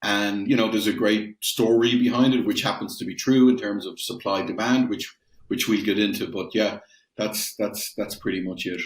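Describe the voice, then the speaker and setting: A man's voice: fairly low pitch, steady tone, normal speed, echoey room, poor audio. A middle-aged Irish man from Dublin answering interview questions conversationally, with frequent fillers and restarts. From Ireland. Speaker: guest, metals company founder. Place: home in Ireland, roomy.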